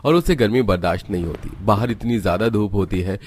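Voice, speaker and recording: A man's voice: fairly low pitch, animated, a little fast, darkish tone, slight background noise. A man reading scripted narration for a story as its narrator.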